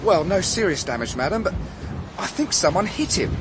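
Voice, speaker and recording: A polite ship steward. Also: male voice, high-pitched, big pitch swings, normal speed, noisy background. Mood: deferential. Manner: respectful, says sir and madam. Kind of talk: radio drama acting.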